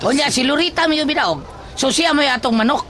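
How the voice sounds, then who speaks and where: Performer as excitable bossy student, Filipino accent, high swooping voice, teasing exclamations, music and classroom crowd behind. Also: fairly quick. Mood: amused. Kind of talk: Filipino audio drama acting. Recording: background music and crowd noise.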